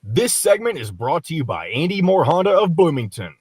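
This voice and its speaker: A man's voice: a little high, very animated, fairly quick, darkish tone. A man reading scripted sponsor lines and a show intro in a polished announcer style. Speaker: radio announcer. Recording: music bed behind voice.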